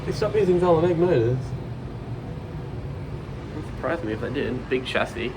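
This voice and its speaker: A man's voice: on the high side, lively intonation, moderate pace, background noise. A young man, a bit sick, giving casual, chatty, technical commentary while filming inside a lift. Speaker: vlogger.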